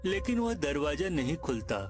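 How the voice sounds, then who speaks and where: A man's voice: a little high, sing-song, normal speed. A man reading scripted narration for a cartoon moral tale as its storyteller. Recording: music behind the voice.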